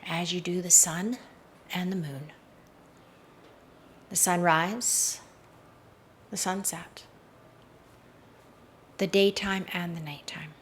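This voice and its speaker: A woman leading a slow guided meditation in a measured, hushed voice that often softens into breathiness, recorded outdoors. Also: fairly low pitch, fairly even intonation, a little hiss or hum. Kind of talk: slow, soothing guided meditation with hypnotic induction. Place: outdoors in a garden. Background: faint outdoor garden ambience.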